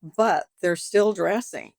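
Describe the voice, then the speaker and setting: A woman's voice: medium pitch, slow pace, darkish tone, dry recording, studio quality. A woman in her seventies, a boutique owner, chatting animatedly in an interview and acting out conversations with customers. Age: 70s.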